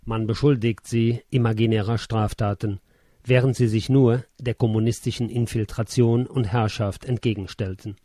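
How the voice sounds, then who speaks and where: A man reading a first-person memoir as scripted narration, in a measured, sober way. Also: mid-range voice, fairly even intonation, warm tone, no room sound, studio quality.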